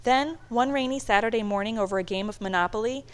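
A woman reading a children's storybook aloud in a measured, expressive storytelling manner. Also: fairly high pitch, clear, resonant tone. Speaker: storybook reader. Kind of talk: read-aloud children's book narration.

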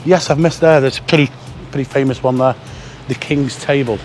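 A man's voice: mid-range voice, a little fast, darkish tone. A man in his fifties walking city streets and chatting casually as he points out shops and bars for his vlog. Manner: chatty, pointing things out as he walks. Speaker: vlogger walking tour guide. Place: outdoors on a busy city street. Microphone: action camera worn or handheld. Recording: background music under street sound.

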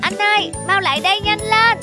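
A woman voicing an eager, excitable little boy in a cartoon, with a childlike way of talking. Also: extremely high pitch, fairly quick, music in the background. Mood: excited. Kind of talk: cartoon voice acting.

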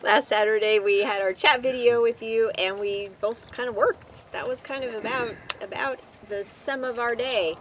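Middle-aged woman chatting outdoors over clear noise. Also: a little high, fairly even intonation, moderate pace, hardly any pauses. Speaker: vlog host. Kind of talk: casual chat. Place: outdoors in open desert beside an RV.

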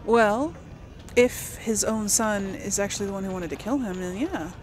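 Woman gaming commentary, casual, mid-pitched, thinking aloud, faint hiss. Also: moderate pace. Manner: musing, chatty, thinking aloud. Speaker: game streamer. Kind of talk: casual let's play commentary.

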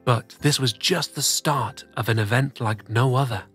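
A man narrating a children's story as host, reading rhymes in a theatrical, deliberate way. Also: mid-range voice. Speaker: storyteller host of a children's read-aloud show. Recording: soft music bed behind the voice. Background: soft music.

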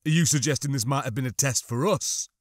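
A man's voice: medium pitch, brisk, no room sound, clean studio sound, natural voice. A man voicing an earnest, troubled stormtrooper with a tense, questioning manner in an audiobook. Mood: stiff. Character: troubled, doubting male stormtrooper, earnest and uneasy.

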